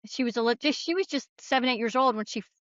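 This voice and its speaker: A woman with a fairly high voice talks as a guest author in an interview. Her way of speaking is plainspoken and folksy. The audio is dry and a little muffled. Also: quick pace, a bit bright, dry recording. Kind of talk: conversational interview.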